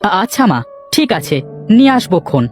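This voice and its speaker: A man voicing a poor, gentle, worried village father in a cartoon, soft-hearted and plaintive. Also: high voice, very animated, moderate pace, a little hiss or hum. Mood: tender, helpless. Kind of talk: Bengali cartoon voice acting.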